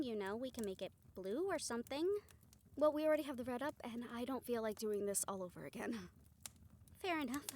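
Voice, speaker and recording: Woman acting as higher-voiced, caring supernatural team strategist. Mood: gently concerned. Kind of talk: scripted audio roleplay acting.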